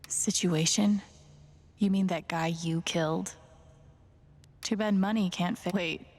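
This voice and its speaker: A woman's voice: fairly low pitch, fairly even intonation, measured pace, darkish tone. An actress playing a sardonic, indignant young woman sleuth thinking aloud in a video game, wry and muttering. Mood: sarcastic. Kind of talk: naturalistic game inner monologue. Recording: long reverb on voice.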